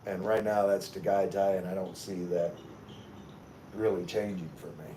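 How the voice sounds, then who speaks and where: A man from Louisiana hosting a casual livestream, reading chat comments aloud and answering in a relaxed, rambling way full of fillers and restarts. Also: deep voice, normal speed, background noise.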